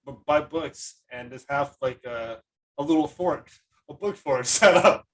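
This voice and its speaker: A man's voice: on the high side, measured pace, rough recording. A man hosting a podcast in a casual, enthusiastic and rambling conversational way. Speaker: podcast host. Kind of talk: casual chat.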